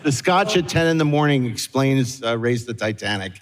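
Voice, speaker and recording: A man's voice: medium pitch, expressive, normal speed, slight background noise. A man casually moderating a live interview as host. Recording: echoey hall with long reverb.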